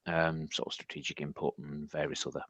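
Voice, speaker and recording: A man from northern England with a deep husky voice, hoarse from shouting, talking as a guest on a podcast with many restarts. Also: level tone, fairly quick, dry recording. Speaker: podcast guest, agency head. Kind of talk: casual panel chat.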